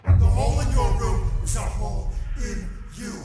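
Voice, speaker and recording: A man's voice: high-pitched, normal speed. A man voicing an otherworldly, menacing entity in a video game, chanting cryptically with lurches in force and an echoing effect. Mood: ominous chanting. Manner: incantatory, lurching into shouts. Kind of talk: video game character acting. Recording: echoing, loud music behind.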